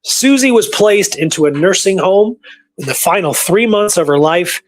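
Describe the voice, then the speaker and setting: Male history show host, voice a bit on the high side, informal and rambling, moving between notes and off-the-cuff talk. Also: lively intonation, moderate pace. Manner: rambling, informal, thinking aloud. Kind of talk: casual history storytelling, partly reading from notes.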